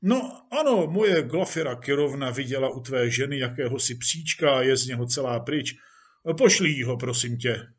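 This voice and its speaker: A man's voice: mid-range voice, animated, breathy edge. A man voicing a pompous, jovial, patronizing police chief in an audiobook story, with a condescending, chummy manner. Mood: casual, wheedling. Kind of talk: acted audiobook dialogue.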